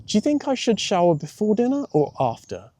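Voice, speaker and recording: A man's voice: sits high, brisk, voice pushed up, exaggerated voice. A man voicing an eager, anxious eleven-year-old schoolgirl full of questions in a storybook reading. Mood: curious. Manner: eager, questioning, breathless excitement.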